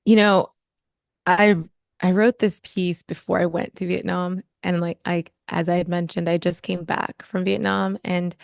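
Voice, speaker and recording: Young American woman, author; smooth, lowish voice, measured and reflective delivery. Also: no room sound, studio quality. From United States. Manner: measured, thoughtful, rhythmic reading. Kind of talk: poetry reading and interview.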